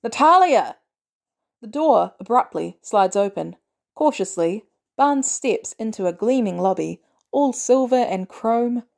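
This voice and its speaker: Lady reading a story aloud, mellow voice, normal pitch, expressive narrator style. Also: measured pace, vocal fry. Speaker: audiobook narrator. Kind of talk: expressive scripted fiction narration.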